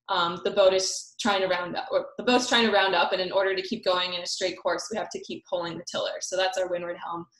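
A woman's voice: mid-range voice, fairly bright tone. Young female presenter, casual and chatty, echoey video-call sound. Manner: casual, many fillers. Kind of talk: online sailing seminar. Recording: roomy echo on call audio.

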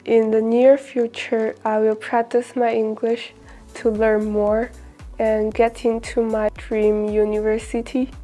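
A woman's voice: fairly high pitch, flat delivery, takes it slowly, mellow, faint music behind. A teenage girl from China giving a careful, prepared self-introduction as a student, recorded on a lapel mic on a studio set. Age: teens.

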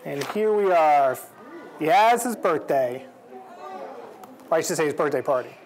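A man in his forties reading a picture book aloud to children in an expressive, measured storytelling way. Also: on the high side, a faint crowd. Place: indoors, library event room. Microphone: lapel. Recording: clear background noise in room.

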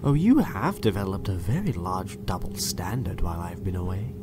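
Actor as low-voiced, coldly menacing doctor. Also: male voice, very animated, very noisy. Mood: cool, condescending. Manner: condescending, measured, at times hushed. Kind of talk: dystopian audio drama.

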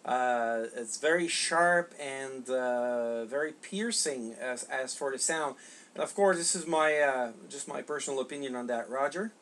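A middle-aged man from Canada talking as an amateur radio operator in a halting, polite way. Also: medium pitch, a bit bright. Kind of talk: unscripted ham radio exchange. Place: small home radio room.

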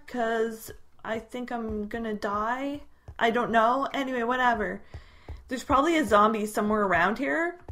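Young Canadian woman, gaming commentary, bright edgy voice, a bit high, jumpy squeals, faint hiss. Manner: jumpy, squealing exclamations and chatty asides. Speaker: gaming video creator. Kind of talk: casual gameplay commentary.

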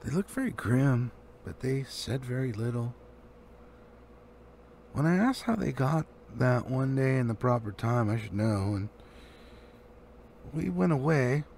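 A man's voice: mid-range voice, expressive, unhurried, creaky, pauses often, a little mellow. A man voicing a grave, proud old male dwarf leader in a solemn, formal manner for a storybook. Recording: faint background noise.

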